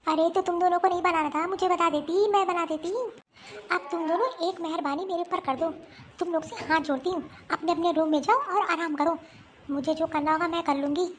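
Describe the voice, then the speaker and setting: Loud and exasperated, this is a woman acting as a middle-aged mother-in-law who rules the house and scolds her daughters-in-law. Her voice sits very high for a woman. It comes from a homemade family skit. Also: fairly even intonation, brisk, a little hiss or hum. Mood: weary.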